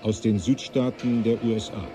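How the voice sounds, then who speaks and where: A man's voice: lowish voice, flat delivery, normal speed, dark tone, a little hiss or hum, natural voice. A man acting in a radio play as an impersonal announcer giving terse headings. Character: clipped, impersonal male announcer of report sources.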